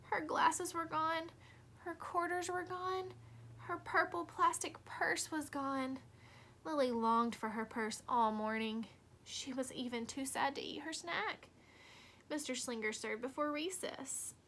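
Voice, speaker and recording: A woman reading a children's storybook aloud expressively, with deliberate dramatic emphasis. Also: sits high, sharp tone, slight background noise. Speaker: storybook reader.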